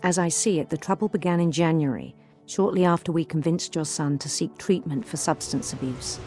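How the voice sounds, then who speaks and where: A woman acts a measured female psychiatrist in a narrative video game, voicing a formal letter. Her pitch sits on the low side and her phrasing is deliberate. Clear music runs behind the words. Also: dark tone, close to an everyday voice. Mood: measured, sympathetic. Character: measured psychiatrist writing to a grieving mother. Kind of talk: scripted game narration, letter read aloud.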